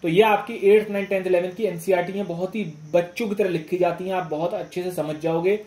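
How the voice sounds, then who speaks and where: A man's voice: high voice, steady tone, fast talker, darkish tone. A man giving informal exam guidance as a teacher, instructive, repeating phrases and often checking that listeners follow. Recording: echoey room.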